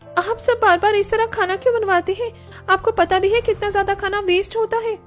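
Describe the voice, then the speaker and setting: A woman's voice: very high voice, steady tone, a little fast, faint music behind, pitched above the performer's own voice. A woman voicing an aggrieved young daughter-in-law in a cartoon, plaintive and protesting. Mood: indignant.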